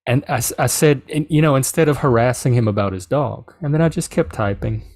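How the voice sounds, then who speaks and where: A middle-aged man from South Louisiana with a Cajun English accent, telling a personal story in a casual, animated way. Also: mid-range voice, brisk, no room sound, studio quality. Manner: animated, quoting others in reported dialogue. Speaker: podcast host.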